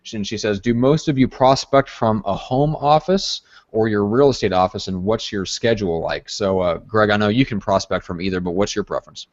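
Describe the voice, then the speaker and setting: Low-voiced man, dull lo-fi sound. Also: a little fast, hardly any pauses, no echo. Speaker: co-host of a real estate training show. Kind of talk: casual unscripted show chat and plugs. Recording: dull, lo-fi audio.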